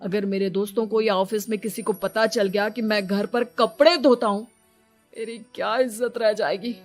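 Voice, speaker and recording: A woman's voice: mid-range voice, fairly even intonation, moderate pace, soft background music, natural voice. A woman voicing a harried young husband in a cartoon, an exasperated and pleading peacemaker. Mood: weary. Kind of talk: cartoon dialogue.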